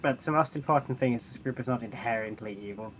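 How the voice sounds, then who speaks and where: A man as condescending mechanical adventurer, theatrical, mid-pitched, halting phrasing, tabletop game, light background noise. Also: a bit bright, lightly acted. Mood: matter-of-fact. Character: haughty, pragmatic nonhuman mechanical adventurer, amused by mortals. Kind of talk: in-character tabletop roleplay.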